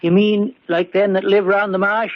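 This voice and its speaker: Radio drama, male actor: high-pitched rustic handyman, dim but plain-spoken, puzzled man, dull lo-fi sound. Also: little pitch movement, moderate pace, natural voice. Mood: puzzled curiosity. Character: simple, folksy, slow-witted male country handyman. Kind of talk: old-time radio drama acting.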